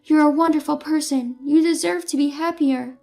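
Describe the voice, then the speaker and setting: A woman voicing a kind older woman who gently consoles a friend. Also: high voice, steady tone, normal speed, creaky, soft background music. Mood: consoling. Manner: soft, consoling.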